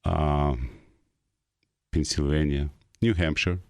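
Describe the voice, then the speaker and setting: Middle-aged male guest, Russian accent, very deep voice, hesitant broken English. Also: steady tone, gravelly, no echo, studio quality. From North Ossetia, Russia. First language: Ossetian. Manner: halting English with frequent uh pauses. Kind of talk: casual interview.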